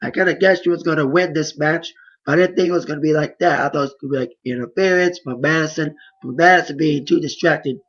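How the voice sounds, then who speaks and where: A hoarse man giving a casual, rambling play-by-play recap of wrestling matches. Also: a little high, quick pace, lo-fi sound. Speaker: wrestling reviewer.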